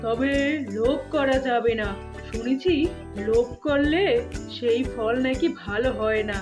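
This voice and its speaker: A woman's voice: a little high, measured pace, pitched below the performer's own voice, lightly acted. A woman voicing a kindly, carefree cartoon father bird, reassuring and given to musing aloud. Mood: amazed. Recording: music playing behind voice.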